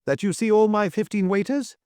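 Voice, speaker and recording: A man's voice: medium pitch, expressive, moderate pace, no echo, clean studio sound, pitched above the performer's own voice, close to an everyday voice. Man playing a breathless, agitated foreign hotelier. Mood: shocked. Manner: breathless, stammering, broken English.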